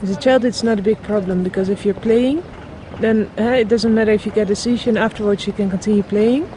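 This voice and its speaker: A woman, a project founder speaking earnestly in a documentary interview and pausing often to find words. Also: mid-range voice, steady tone, dark tone, rough recording. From Netherlands. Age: 20s. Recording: outdoor street noise with passing traffic.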